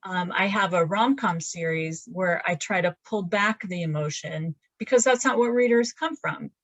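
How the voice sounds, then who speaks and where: A woman's voice: lowish voice, moderate pace, dry recording. Chatty woman author on a video call. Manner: chatty, rambling with frequent fillers and restarts. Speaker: romance author, guest. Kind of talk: casual video-call interview. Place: laundry room at home. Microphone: webcam on a video call.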